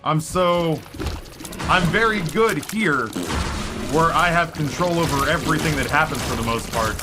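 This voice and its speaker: A middle-aged man chats away over his own game stream, his voice sitting a little higher than most men's. He rambles and pokes fun at himself. Game music plays clearly behind him the whole time. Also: moderate pace. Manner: rambling, self-deprecating, talks nonstop. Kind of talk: casual chat while playing a video game.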